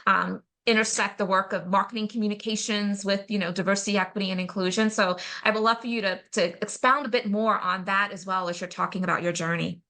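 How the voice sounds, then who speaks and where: A woman's voice: mid-range voice, fairly even intonation, fast talker, few pauses, pushed and bright. A woman co-hosting a podcast interview, talking in a conversational and affirming way. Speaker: co-host.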